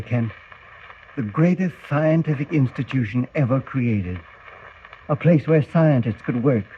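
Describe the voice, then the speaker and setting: An actor playing an idealistic older scientist, grave, declamatory and earnest, in 1940s radio serial style. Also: male voice, medium pitch, natural voice. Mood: idealistic, fervent. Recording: tinny, hissy 1940 radio recording.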